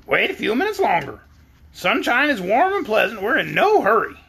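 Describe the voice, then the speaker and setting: A reader voicing a brisk, cheeky female yellow hen in a storybook, self-assured and fond of arguing. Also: mid-range voice, huge pitch swings, gravelly, husky, voice pushed up, full character voice. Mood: calm, assured.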